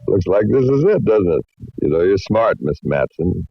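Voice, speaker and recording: Slow, folksy cowpoke. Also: male voice, low-pitched, heavily acted. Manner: drawling, folksy, modest. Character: easygoing, folksy male singing cowpoke with a sly side. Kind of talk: 1940s radio drama acting. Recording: muffled old radio recording.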